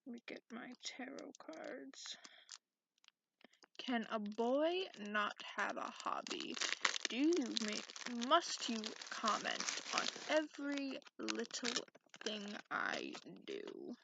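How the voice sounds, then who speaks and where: Cranky, sarcastic male dragon played by a woman for ASMR roleplay; unhurried, soft, gravelly fry, grudging manners. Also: on the high side, huge pitch swings, heavily acted. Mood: sullen, grumbling. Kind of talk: ASMR roleplay, partly whispered. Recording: lo-fi, noisy close recording with clicks.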